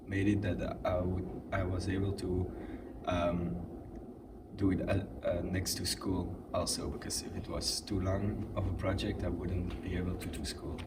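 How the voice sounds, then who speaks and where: A young man from Belgium, twenty, speaks in a very deep, flat voice and often restarts his words. He sits some way from the mic. Loud background noise runs under him. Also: measured pace, recorded from a distance. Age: 20s. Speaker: young actor interviewed. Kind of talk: casual interview answers.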